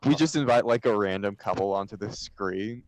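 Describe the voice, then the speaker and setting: A man's voice: on the low side, normal speed, dry recording, poor audio. A man in casual, sarcastic banter with his improv troupe.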